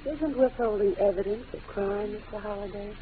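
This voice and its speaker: A woman's voice: medium pitch, fairly quick, a little hiss or hum, lightly acted. An actress in a 1940s radio drama playing a composed, defensive young woman suspected of a crime. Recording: tinny old radio broadcast.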